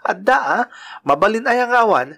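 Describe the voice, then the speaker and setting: A man's voice: high-pitched, lively intonation, moderate pace, creaky, pushed and bright, heavily acted. A man with an Ilocano accent playing a kindly, earnest uncle and working man in an audio drama. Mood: affectionate. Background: music.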